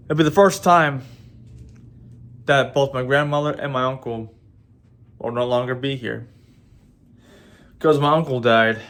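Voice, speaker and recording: Guy in his 20s vlogging, keeps restarting his sentences, medium pitch. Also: a bit bright. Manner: halting, many restarts and repeated words. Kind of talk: casual vlog talk to viewers.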